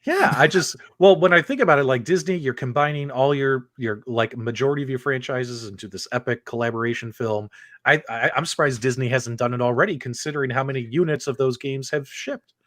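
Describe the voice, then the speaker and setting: A man co-hosting a casual panel chat, talking with eager enthusiasm and big swings in pitch. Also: fairly quick, a bit bright, no room sound, studio quality. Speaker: co-host.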